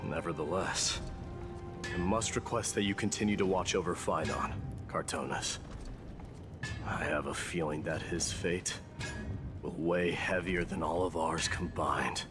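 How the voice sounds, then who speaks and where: A man playing a grave, stoic warrior king in a video game, speaking formally and with measured weight. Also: low voice. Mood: solemn resolve. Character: solemn, stoic warrior king turned god, male. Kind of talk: dramatic video game voice acting. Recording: game dialogue over loud music.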